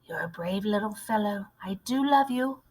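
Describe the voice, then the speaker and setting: A woman voicing a loving, shrewd old grandmother in a storybook, measured, fond and admonishing. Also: mid-range voice, expressive, a little mellow, close to an everyday voice.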